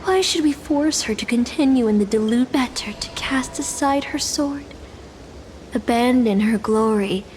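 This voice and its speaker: An actress playing a solemn, softly menacing woman in a video game, speaking in slow, theatrical, deliberate phrases. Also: a little high, slight background noise, close to an everyday voice. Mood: solemn, resolved. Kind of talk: dramatic game character monologue.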